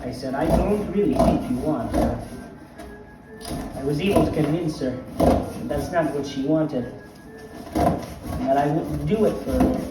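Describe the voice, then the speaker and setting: A man's voice: a little high, animated, unhurried, pauses often, distant mic, heavy background noise. A man playing a rambling old vampire count who guides viewers through a craft project and keeps drifting into anecdotes. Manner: digressive, wanders into anecdotes. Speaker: craft tutorial host in character. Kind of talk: in-character crafting tutorial. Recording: very echoey room, mic from afar. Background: music playing.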